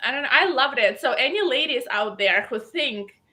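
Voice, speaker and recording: A woman from Belarus speaks with a clear Belarusian accent. Her voice sits high and sounds bright. She talks about her design work with eager, emphatic energy and piles on repeated words for stress. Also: animated, normal speed. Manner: enthusiastic, emphatic repetitions. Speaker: guest, gown designer. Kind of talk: casual interview talk.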